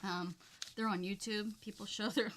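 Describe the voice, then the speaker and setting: A woman's voice: medium pitch, fairly even intonation, normal speed, fairly bright tone, a little hiss or hum. Woman, light Southern accent, casual livestream chat, rambling storyteller, crafting while talking. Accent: Southern American. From United States. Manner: rambling, chatty storyteller reading comments aloud. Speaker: craft channel host.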